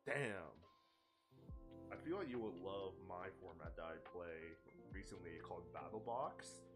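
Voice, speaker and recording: Brief: relaxed male co-host with a lowish, lively voice, faint music behind. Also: a little slow. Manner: laid-back, chatty, laughs often. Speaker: streamer co-host. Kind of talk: casual chat.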